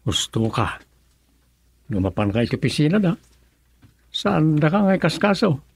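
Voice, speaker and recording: A man acting an opinionated townsman in a radio comedy drama, with an Ilocano accent and a gravelly, animated, colloquial delivery. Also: fairly high pitch, moderate pace, no echo, exaggerated voice.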